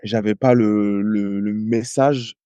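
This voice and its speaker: A French man in his thirties, a rugby player guest, telling stories in casual podcast talk, halting with many hesitations and restarts. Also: on the low side, fairly even intonation, dark tone, clear, resonant tone, no room sound, muffled recording. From France. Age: 30s. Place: home in Ireland.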